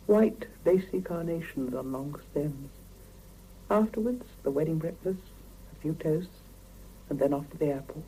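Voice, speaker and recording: An actress playing a wistful, guarded young Soviet wife in a 1970s radio drama, speaking. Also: female voice, low-pitched, warm tone, low-quality recording. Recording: hissy 1970s mono radio cassette.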